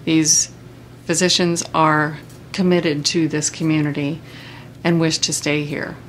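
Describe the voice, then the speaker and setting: A woman representing a medical center, giving formal, deliberate explanations in interview remarks for a newsreel. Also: level tone. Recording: faint low rumbling background noise.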